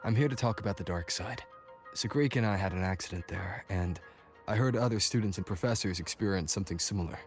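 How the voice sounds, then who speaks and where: Actor as young male hero, fairly low pitch, measured, tactful, serious, game dialogue over soft music. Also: lightly acted. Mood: calm, probing. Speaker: video game protagonist. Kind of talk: video game voice acting.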